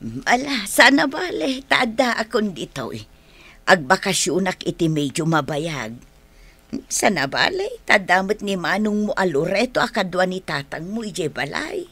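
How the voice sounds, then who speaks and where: A woman acting an affectionate old grandmother in an Ilocano radio drama, with a homely, expressive delivery. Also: mid-range voice, measured pace, husky, full character voice. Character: affectionate old grandmother visiting her family.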